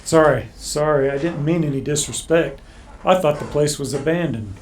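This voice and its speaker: An actor playing a polite, hesitant war veteran cowboy in a Western radio drama, with an American accent. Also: male voice, a little high, moderate pace, a little hiss or hum, lightly acted. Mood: apologetic.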